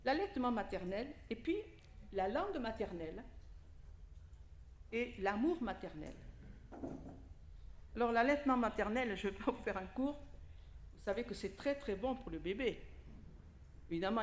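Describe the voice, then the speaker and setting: A woman's voice: medium pitch, animated, moderate pace, pauses often, roomy sound, a little hiss or hum. A woman from Italy, a native Italian speaker, giving an informal sermon and talking directly to the congregation with questions and asides. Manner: conversational, addresses listeners directly with questions and asides. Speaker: lay preacher. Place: church hall during a service.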